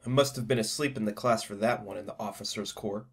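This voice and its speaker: A man's voice: lowish voice, fast talker, creaky, slightly rough. A man acting as a restless ex-military newcomer to a spaceship crew in an audio drama. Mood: restless, curious.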